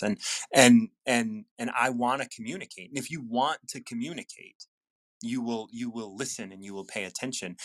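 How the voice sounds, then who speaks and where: A man's voice: medium pitch, creaky, a bit bright, no echo. Earnest male host with a dry sound. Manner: earnest, repeats words and restarts often. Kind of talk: casual live video chat.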